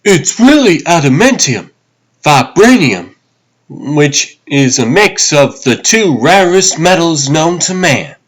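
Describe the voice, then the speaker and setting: A man's voice: fairly high pitch, big pitch swings, takes it slowly, pitched above the performer's own voice, exaggerated voice. A man playing an exasperated young government agent in a comic dub, sarcastic and indignant. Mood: sarcastic, geeky.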